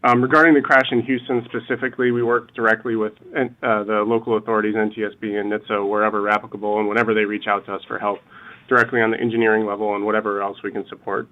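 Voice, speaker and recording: A man's voice: on the low side, little pitch movement, fast. A man speaking as an engineering executive, answering unscripted on a company earnings call. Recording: narrow, radio-like phone conference line.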